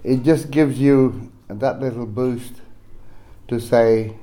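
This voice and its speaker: A man with a Fijian accent gives an unscripted personal testimony to a group, halting and often correcting himself as he goes. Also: mid-range voice, fairly even intonation, dark tone. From Fiji. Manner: halting, with repeated self-corrections. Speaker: speaker sharing his story at a recovery meeting.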